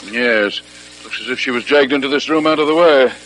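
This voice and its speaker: A man playing a brisk, suspicious police superintendent in an old British radio mystery, authoritative, with a British accent. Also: medium pitch, fairly bright tone, close to an everyday voice. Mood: brisk, musing. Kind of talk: old-fashioned British radio drama acting. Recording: noisy vintage radio recording with hum.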